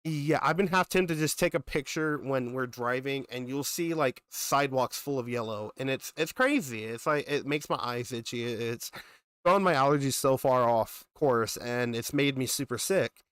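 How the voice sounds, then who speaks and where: A man's voice: medium pitch, moderate pace, fairly bright tone, smooth, no room sound. A young man with a cold, sounding congested, chatting casually with viewers as a gaming streamer and rambling on with asides. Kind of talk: casual live-stream chat.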